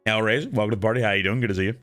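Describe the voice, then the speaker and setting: A man with a worn-out, husky voice chatting casually with his live-stream viewers and shouting greetings to newcomers. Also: lowish voice, very fast, soft background music. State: hoarse, worn-out voice.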